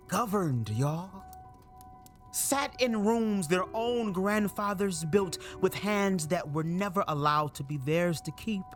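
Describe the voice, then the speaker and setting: A spoken-word performer delivering a dramatic monologue in an emphatic, deliberate way, with short punchy phrases. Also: mid-range voice. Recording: voice over a clear music bed. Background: music.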